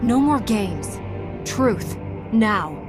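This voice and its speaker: A woman's voice: medium pitch, animated, drawn-out words. An actress playing a composed, no-nonsense female Jedi commander in a video game, assertive and dry. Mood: wary, stern. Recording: loud music underneath.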